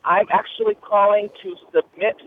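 A man's voice: sits high, fairly even intonation, moderate pace. A man calling in by phone from his car, leaving a chatty, enthusiastic fan message. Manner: gushing, enthusiastic. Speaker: caller leaving a listener voicemail. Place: in a car, driving. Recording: narrow phone line, faint noise.

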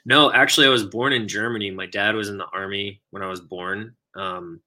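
A man hosting a casual podcast, talking in an easy, rambling way with plenty of fillers and quick laughs. His voice sits fairly low, but it has a bright, forceful edge. He grew up in Texas. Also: fairly even intonation. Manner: chatty, laughs easily, lots of fillers. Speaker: podcast host. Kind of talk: casual conversation.

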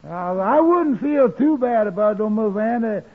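On a thin vintage radio recording, a slow-witted, meek sidekick. The voice is strikingly high and husky for a man, with a hesitant folksy drawl out of 1940s radio comedy. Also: lively intonation, full character voice. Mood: apologetic. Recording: muffled old radio broadcast recording.

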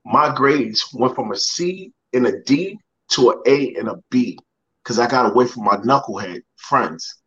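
A man's voice: mid-range voice, expressive, a little slow, fairly bright tone, muffled recording. A young man, a motivational speaker, telling a personal story in a podcast interview. He repeats words and acts out the people he quotes.

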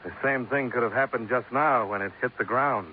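An actor playing a tough, cool-headed American insurance investigator, terse and dry, in 1950s radio detective style. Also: male voice, medium pitch, fairly quick, a little hiss or hum, lightly acted. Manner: terse, hard-boiled, dry. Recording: tinny narrow-band old radio recording.

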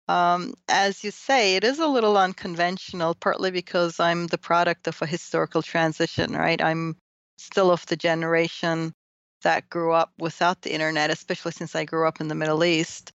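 Animated woman from Turkey with gravelly edge. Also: lowish voice, no room sound, dull audio. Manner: animated, laughs often, quick restarts. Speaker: guest. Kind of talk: podcast interview.

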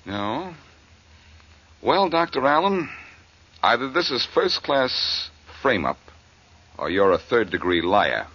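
An actor playing a cool, confident, quick-witted amateur detective in 1940s radio style, on a muffled, hissy recording. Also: male voice, medium pitch, sing-song. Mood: probing. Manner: confident, wry.

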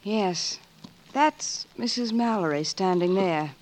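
A woman's voice: mid-range voice, slow, a little mellow, lightly acted. An actress playing a guarded, wistful young dance hall woman in a 1940s radio western, hesitant and subdued. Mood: matter-of-fact. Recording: hissy vintage 1940s radio recording.

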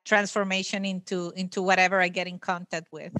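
Bright-voiced woman, dry close sound. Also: lowish voice, level tone, brisk, no echo. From Venezuela. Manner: friendly, laughs often, many restarts. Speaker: podcast guest, company CEO. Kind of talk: casual interview talk.

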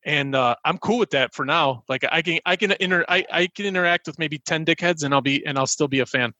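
A man's voice: on the high side, fast talker, sharp tone, dry recording, muffled recording. A man co-hosting a podcast, chatting casually in a rambling, self-deprecating way. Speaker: podcast co-host. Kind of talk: casual chat.

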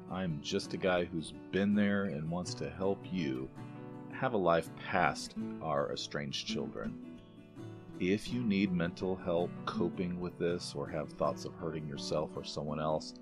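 A middle-aged man hosting a podcast, speaking in a halting, casual way with many pauses. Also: low voice, over music. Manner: halting, many fillers and restarts. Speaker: podcast host. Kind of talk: casual interview.

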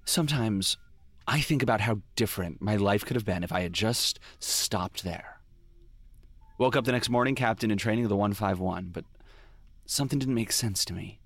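A man's voice: on the low side, normal speed, breathy edge, no room sound. A man playing a brooding police detective, narrating a noir audio drama in a wry, confessional way. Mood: brooding, regretful. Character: brooding male police detective recalling his past mistakes. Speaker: lead character and narrator.

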